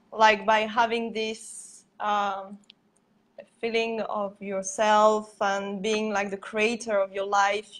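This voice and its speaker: Woman, french accent, slow and flat, hesitant with fillers, faint background hum, video call. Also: mid-range voice, low-quality recording. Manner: hesitant, many fillers, soft laughs. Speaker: interviewer. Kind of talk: live webinar interview.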